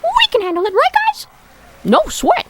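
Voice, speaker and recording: A woman voicing a cheeky, brash little character with a squeaky voice in an amateur film dub. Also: huge pitch swings, normal speed, a bit bright, a little hiss or hum, heavily acted. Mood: confident.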